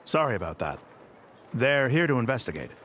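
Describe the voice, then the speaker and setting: A dutiful male officer in a video game, with a medium-pitched voice. He reports in a polite, formal, matter-of-fact way. Faint ambience can be heard behind him. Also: sing-song, brisk, a bit bright, a little hiss or hum. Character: courteous, dutiful military investigator, a grown man.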